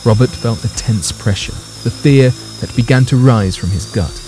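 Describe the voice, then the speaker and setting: A man's voice: mid-range voice, normal speed, over music. A man narrating a scripted audio drama in a grave, theatrical storytelling manner.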